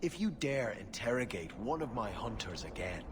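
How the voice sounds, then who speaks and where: An actor playing a proud, defiant elven clan warrior in a video game, stern and scornful. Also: male voice, lowish voice, big pitch swings, normal speed, noisy background. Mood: defiant, menacing. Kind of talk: dramatic game dialogue. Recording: music behind the voice.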